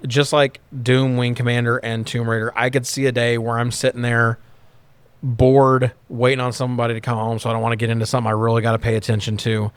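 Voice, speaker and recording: A man's voice: medium pitch, monotone, fast, smooth, no room sound. A man talking casually and informally as a podcast co-host, rambling through his opinions and swearing now and then. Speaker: podcast co-host reviewing movies. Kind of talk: casual unscripted discussion.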